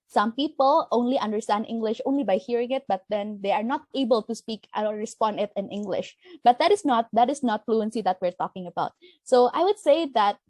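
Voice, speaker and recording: A woman's voice: fairly high pitch, a little fast, darkish tone, no room sound. A woman from Indonesia, earnestly explaining her reasoning step by step as a panelist. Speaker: discussion panelist. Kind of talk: debate-style discussion.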